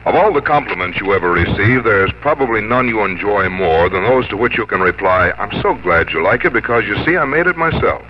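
A man reading scripted copy as a polished, measured 1950s American radio announcer. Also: lowish voice, expressive, background noise. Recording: muffled 1950s radio broadcast, music behind.

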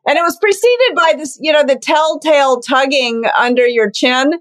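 A woman's voice: high voice, normal speed, fairly bright tone. A woman podcast guest telling playful, self-deprecating stories. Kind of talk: casual interview chat.

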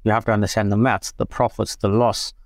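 Lower-voiced South African man telling his story. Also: flat delivery, a little fast, no echo, clean studio sound. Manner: conversational, many fillers and repeated words. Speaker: guest, photographer and business owner. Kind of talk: casual interview storytelling.

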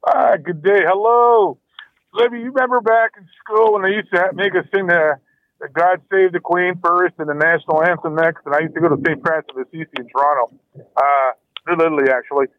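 A man's voice: high-pitched, fairly even intonation, a little fast, no echo. Rambling male caller on a phone line. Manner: rambling, nostalgic. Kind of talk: phone-in call.

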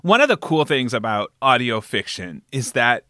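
A man hosts a conversational interview in a bright, forward voice. His pitch sits a little high and swings widely as he makes his points. The sound is dry, with very little room on it. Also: moderate pace, no room sound. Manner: animated, frequently checking in with "right?". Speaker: podcast host and interviewer.

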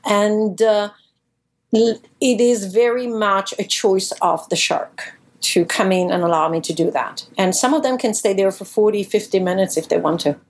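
A woman in her forties from Italy, speaking, answering interview questions in an animated storytelling way with occasional fillers. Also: medium pitch, normal speed. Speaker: guest, professional shark diver.

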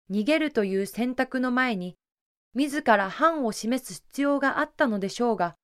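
A woman's voice: a little high, clear, resonant tone, dry recording, studio quality. A woman giving polite, explanatory scripted narration for a historical audio drama.

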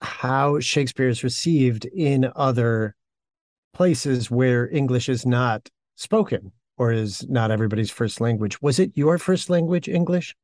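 Guy hosting a podcast, dry close sound, asks follow-ups, mid pitch. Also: a little slow, darkish tone, dry recording. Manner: curious, asks follow-up questions. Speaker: podcast host. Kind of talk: casual interview conversation.

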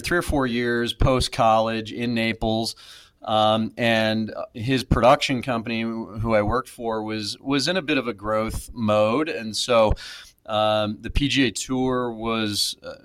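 A man's voice: mid-range voice, a bit bright, dry recording. A man in his thirties, a podcast guest, speaking reflectively with many fillers and restarts. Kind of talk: casual interview.

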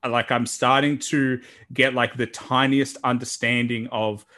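A man from Australia with a deep voice, hosting a podcast and talking in a reflective, earnest way. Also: little pitch movement, measured pace, pushed and bright. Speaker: podcast host. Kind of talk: casual interview talk.

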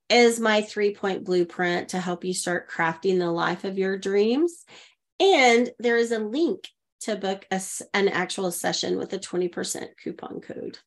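A middle-aged woman from Oklahoma, a guest telling her story animatedly and acting out the voices she quotes. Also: mid-range voice. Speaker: podcast guest, leadership coach. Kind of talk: storytelling interview.

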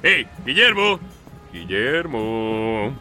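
Excitable, bright-voiced Latin American revolutionary man. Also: mid-range voice, takes it slowly, soft background music, exaggerated voice. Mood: jubilant, grand. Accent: Latin American Spanish. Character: excitable, proud male revolutionary leader returned from the grave. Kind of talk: audio drama acting.

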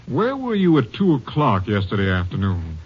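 An actor playing a skeptical, level-headed Texas Ranger captain with a measured, probing manner in an old-time radio drama. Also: male voice, lowish voice, huge pitch swings, breathy edge, heavily acted. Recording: muffled, noisy vintage radio recording.

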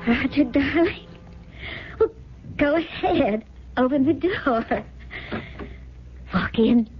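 An actress playing a naive, adoring, refined heiress wife in a radio drama, gushing and earnest with a breathy voice. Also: female voice, high-pitched, animated, takes it slowly, a little hiss or hum. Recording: dull lo-fi 1970s radio broadcast.

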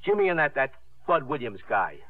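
Over a muffled, phone-like old radio broadcast, a folksy sidekick played by a man talks in a mid-range voice. He is plain-spoken and halting, in 1950s radio acting style. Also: mid-range voice, big pitch swings, natural voice. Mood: sympathetic, puzzled.

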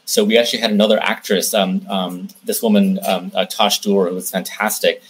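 A man's voice: lowish voice, little pitch movement, fairly quick, slight background noise. A man giving conversational interview answers as a guest, explaining things in detail and often restarting his phrases. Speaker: interview guest, film director and producer.

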